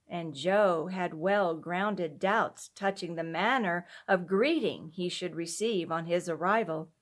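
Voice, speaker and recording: A woman narrates a classic story in a medium-pitched voice. Her pace is slow and measured. The reading is scripted and expressive, in the manner of a storyteller.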